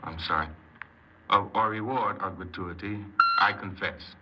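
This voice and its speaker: An actor playing a troubled, defensive criminal confessing in a 1950s radio crime drama, speaking earnestly and haltingly as a proud hypnoanalyst. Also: male voice, sits low, fairly even intonation. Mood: solemn, resigned. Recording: very noisy, muffled 1950s radio recording.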